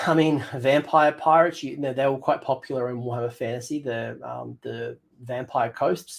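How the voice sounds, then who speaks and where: A man with a voice going hoarse chats casually on his hobby livestream. He thinks aloud, with frequent ums and false starts, at an ordinary middle pitch. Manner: rambling, thinks aloud with many ums and restarts. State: losing his voice. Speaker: livestream host.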